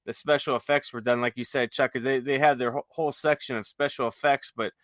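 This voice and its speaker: A man's voice: mid-range voice, steady tone, fairly quick, fairly bright tone, dry recording, clean studio sound. A man co-hosting a podcast in casual, enthusiastic, rambling explanations, sometimes reading from notes. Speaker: podcast co-host. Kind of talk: casual chat with some read-aloud notes.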